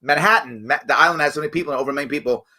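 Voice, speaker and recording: A man hosting a live stream, talking casually and reading viewer comments, his voice raspy and a bit hoarse from weeks of campaigning. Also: a little high, rapid-fire. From New York State. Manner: conversational, animated, frequent fillers and restarts. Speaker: show host.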